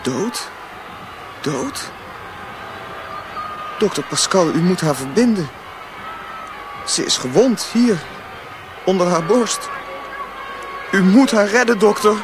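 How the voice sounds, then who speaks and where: A man's voice: high voice, expressive, takes it slowly, pauses often, noisy background. A young man playing a distraught teenage boy, pleading desperately in a radio drama. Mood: frantic pleading.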